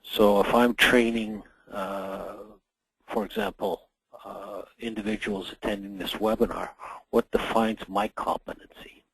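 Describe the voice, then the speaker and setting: A middle-aged man, hoarse from a cold, presenting a workplace safety webinar in a measured way with frequent pauses. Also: on the low side, fairly even intonation, no room sound, poor audio. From Canada. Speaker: association general manager presenting a webinar.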